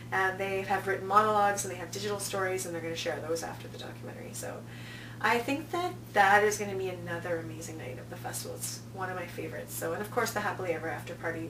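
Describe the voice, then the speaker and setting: A woman talking conversationally as an interview guest, stressing key words as she promotes events. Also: fairly low pitch. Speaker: interview guest, film festival programming director. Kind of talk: casual promotional interview talk. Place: indoor room, on-location video interview. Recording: roomy, distant mic, clear background noise.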